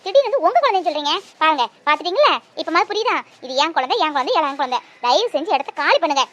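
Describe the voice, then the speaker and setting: A woman's voice: high voice, very animated, quick pace. A woman playing a young mother who fiercely guards her child. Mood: angry. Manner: coaxing to her child, sharp when confronted. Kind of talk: Tamil drama acting.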